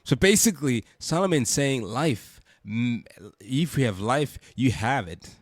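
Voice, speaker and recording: A man's voice: mid-range voice, lively intonation, a little slow, no room sound, clean studio sound. A man hosting a podcast with a congested, stuffy voice, speaking earnestly and repeating rhetorical questions. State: congested from a cold. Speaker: podcast host. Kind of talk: reflective spoken monologue.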